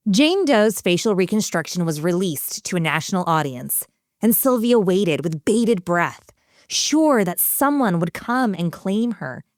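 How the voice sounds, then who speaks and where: A woman hosting and narrating a true-crime podcast from a script, with measured, dramatic storyteller phrasing. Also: fairly low pitch, very animated, dry recording, studio quality.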